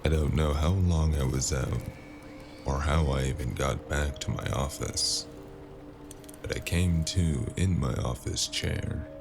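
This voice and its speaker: A man's voice: a bass voice, a little slow, creaky, over music, exaggerated voice. A man playing a battered, sardonic, world-weary investigator in a cyberpunk noir audio drama. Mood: weary narrating.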